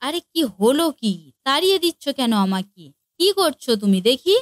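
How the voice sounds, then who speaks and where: A woman voicing a suspicious, bossy older mother-in-law in a family comedy cartoon, nagging with rapid questions. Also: high-pitched, sing-song, no echo, studio quality. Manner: nagging, quick-fire questioning.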